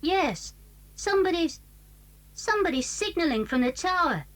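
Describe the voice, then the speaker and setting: Wary, high-pitched British schoolboy. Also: female voice, very animated, moderate pace. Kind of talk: 1980s British children's audio drama acting.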